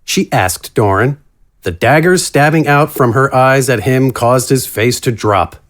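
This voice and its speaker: A man's voice: medium pitch, unhurried. A man reading scripted narration for a fantasy story.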